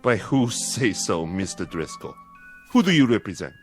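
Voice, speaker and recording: A man playing a formal, skeptical Japanese police chief with a Japanese accent and measured, condescending speech, in vintage television drama style. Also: on the low side, sing-song. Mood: stern, skeptical. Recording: old TV soundtrack with music.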